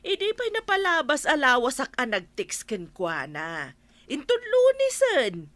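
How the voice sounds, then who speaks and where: A woman's voice: sits high, wildly swooping pitch, unhurried, fairly bright tone, no echo, heavily acted. A woman acting as a strict, bossy village aunt and coordinator in a comedy radio drama, with an Ilocano accent. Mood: strict, reminding. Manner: bossy, instructing.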